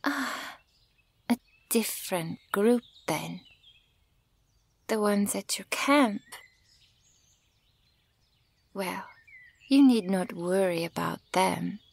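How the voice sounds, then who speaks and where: A woman voicing a centuries-old elven archer, stern and bitter toward humans, in a breathy, measured, dramatic ASMR roleplay delivery that often drops toward a whisper. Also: medium pitch, animated, full character voice. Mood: scornful. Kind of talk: ASMR fantasy roleplay audio acting.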